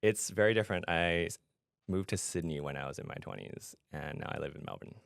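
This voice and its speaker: Man from Canada, middle-aged, rock-bottom pitch, vocal fry, podcast guest, conversational answers. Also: a little fast, dry recording. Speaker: podcast guest, fintech product adviser and founder.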